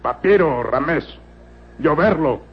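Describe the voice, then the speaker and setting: An actor playing a loyal, simple manservant in a radio serial, speaking in halting broken fragments with a husky voice. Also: male voice, fairly high pitch, animated, full character voice. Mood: halting, eager. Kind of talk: old radio serial acting. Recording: dull lo-fi old radio recording.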